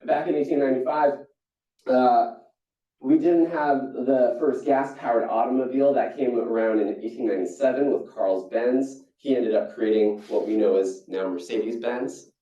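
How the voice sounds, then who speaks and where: Young guy from singapore doing a keynote, mellow voice, kinda distant and dull in a roomy hall. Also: moderate pace. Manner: storytelling, animated with jumps in pitch and frequent restarts. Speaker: keynote speaker. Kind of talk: motivational keynote talk. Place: conference hall.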